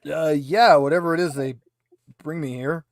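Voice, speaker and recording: A man's voice: fairly high pitch, moderate pace, no room sound, studio quality, natural voice. A man voicing a drunk, grumbling female pilot at a bar in a tabletop game, hesitant and boozy. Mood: tipsy, griping.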